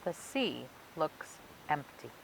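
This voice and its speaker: A woman reading a children's picture book aloud for a library storytime, expressively and phrase by phrase with emphatic pauses. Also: deep voice, big pitch swings, poor audio. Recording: clear background noise and hiss.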